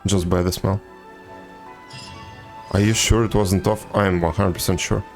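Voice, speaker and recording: A man livestreaming a video game and chatting casually with viewers, halting now and then and repeating words. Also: deep voice, steady tone. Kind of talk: casual chat with viewers while gaming. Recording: game music behind the voice. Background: video game music.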